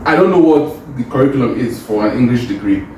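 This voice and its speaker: A man hosting a casual podcast chat, asking lively questions and laughing often. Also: medium pitch, fairly quick, slight background noise. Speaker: podcast host. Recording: echoey room, from a distance.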